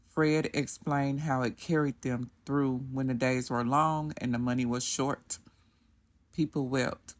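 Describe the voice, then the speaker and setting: A woman narrating a faith-based story in a measured, reverent way. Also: extremely low pitch.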